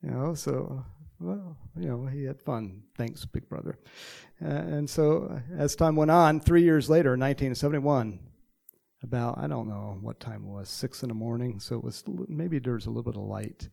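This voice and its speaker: A man's voice: mid-range voice, normal speed, vocal fry, warm tone. A man in his sixties from Texas with a slight Texan accent, preaching in a casual, rambling storytelling way with many fillers. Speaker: pastor.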